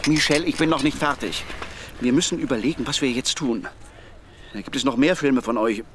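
An actor playing a worried father who pleads with his son and quickly flares up. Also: mid-range voice, fairly bright tone, a little hiss or hum, close to an everyday voice. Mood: urgent. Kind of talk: radio play acting.

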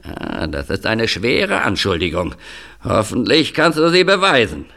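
Shady middle-aged male agent for audio drama, acted by a man: heavy fry, halting delivery, guilty undertone. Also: lowish voice, sing-song, bright, forceful tone, full character voice. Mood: grave confession. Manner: hesitant, halting with interjections.

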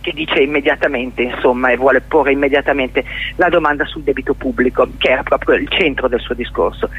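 A middle-aged woman being interviewed on the radio, explaining her work in a halting, reflective way and repeating words for emphasis. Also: very deep voice, steady tone, husky, phone-quality sound. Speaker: interview guest, theatre journalist and performer.

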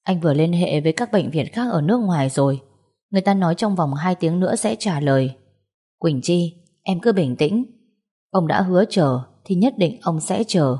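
A woman voicing a calm, steady young husband in an audio story, speaking evenly and firmly. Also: fairly low pitch, moderate pace, slightly rough. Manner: even, calm, firm.

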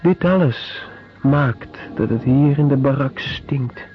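An actor playing a weary male prisoner in a radio drama, speaking in a measured, deliberate, descriptive monologue. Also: mid-range voice, close to an everyday voice. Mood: weary, bleak. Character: weary male prisoner recalling squalid penal-colony life. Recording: dull radio recording with music.

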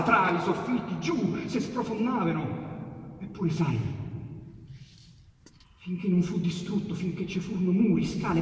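A man with a Roman accent declaims verse in a theatrical style, his voice sitting a little high. Each line surges or sinks into a hush. He sounds distant from the mic in a big, echoing hall, with soft piano underneath. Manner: theatrical, surging then dropping to hushed. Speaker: reciter of dialect sonnets. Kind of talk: dramatic poetry recitation.

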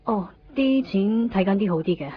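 A woman's voice: mid-range voice, animated, moderate pace, slightly rough. An actress playing a reserved, determined young woman in a radio drama, guarded and a little hesitant. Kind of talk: radio drama dialogue and inner monologue. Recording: muffled 1990s radio drama under music.

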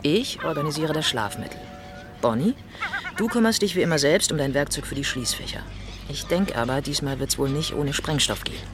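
A woman's voice: sits low, animated, brisk, noisy background. An actress playing a bossy, clever woman who masterminds a robbery crew, commanding and impatient in naturalistic radio drama dialogue. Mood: briskly planning.

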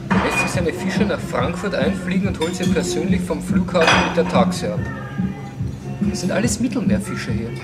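A man's voice: high voice, lively intonation, normal speed. Film crewman, casual, natural dialogue, roomy acoustic, strong music behind. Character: casual male film crew colleague. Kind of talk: naturalistic radio drama dialogue.

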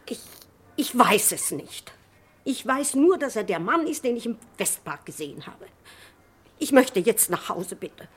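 A woman's voice: a little high, sing-song, unhurried, slightly rough, heavily acted. An actress playing a defensive, troubled, respectable mother and witness in a German radio crime drama, speaking emotionally. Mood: distressed, pleading.

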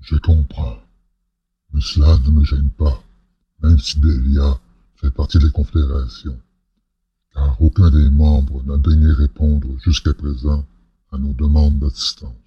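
A man's voice: little pitch movement, a little slow, no echo, lo-fi sound, exaggerated voice. A man playing a grave, courteous head of state in a science-fiction audio drama, speaking formally and with measure. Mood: measured, confiding. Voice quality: very deep, husky, rasping.

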